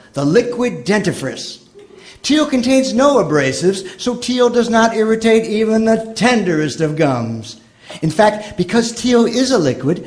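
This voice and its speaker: High-pitched old-time radio announcer man. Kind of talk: old-time radio commercial and scripted narration.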